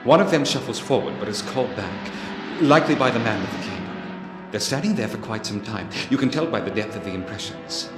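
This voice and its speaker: An actor playing a keen, coolly analytical Victorian detective, speaking in precise, theatrical deductions in film dialogue. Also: male voice, mid-range voice, expressive, a little fast, natural voice. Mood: absorbed, analytical. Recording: reverberant hall sound with music underneath.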